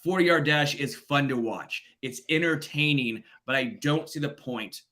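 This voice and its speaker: Man, from the Midwest, podcast host, bright tense tone, teasing, animated delivery. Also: unhurried. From Kansas City, US Midwest. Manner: teasing, animated, jumps up in pitch when joking. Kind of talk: casual sports podcast chat.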